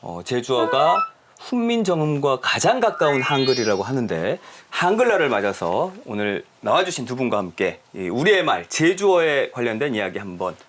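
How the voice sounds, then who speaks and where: Lively woman talk show host. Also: a bass voice, normal speed, a little hiss or hum. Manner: playful, quizzing her guests.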